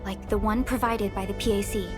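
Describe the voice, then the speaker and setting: A calm supervisor from a video game played by a woman speaks with formal authority in a mid-pitched voice. She explains things plainly, with music clearly underneath. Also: fairly quick, close to an everyday voice. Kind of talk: video game character acting.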